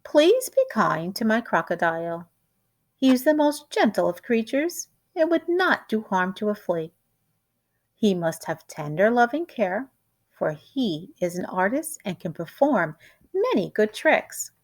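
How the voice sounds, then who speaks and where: A woman's voice: mid-range voice, very animated, measured pace. A woman voicing a courteous showman's grandly polite note in a storybook, with formal flourishes.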